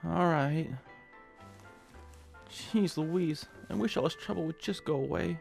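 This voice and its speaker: Creaky-voiced, anxious nebbish man. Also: fairly high pitch, slow pace, a little mellow, soft background music. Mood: upset, whiny. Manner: hesitant, flustered, stammering. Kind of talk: radio drama acting.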